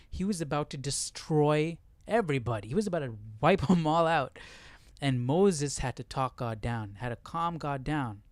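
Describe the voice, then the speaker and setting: A man's voice: a little high, dry recording. A man hosting a Bible study, talking casually through the text and often checking in with tag questions. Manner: conversational, seeks agreement with tag questions. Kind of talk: casual Bible study commentary with verse reading.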